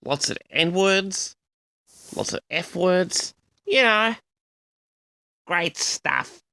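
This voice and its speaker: A man hosts a storytelling vlog with a forceful, gravelly edge to his voice. His delivery is theatrical and indignant, full of dramatic pauses and wide swings in pitch. He speaks straight to his audience as he tells his tale. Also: lively intonation, dry recording. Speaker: vlog host. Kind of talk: storytelling monologue addressed to the audience.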